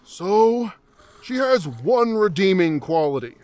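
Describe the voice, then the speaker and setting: A man's voice: high-pitched, big pitch swings, slow pace, darkish tone, dry recording, heavily acted. Man as a curt, blunt fantasy adventurer. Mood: dry, curt. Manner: curt, dry, challenging. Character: blunt, hard-to-please adventurer interviewing recruits. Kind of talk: fantasy audio drama acting.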